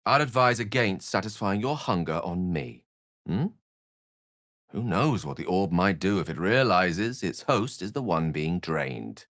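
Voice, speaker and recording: A low, very expressive male wizard from a fantasy video game. He is learned and earnest, with a wry edge and florid, articulate phrasing. The sound is dry and close. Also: measured pace, dry recording, heavily acted. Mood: wry, composed. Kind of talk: scripted video game character dialogue.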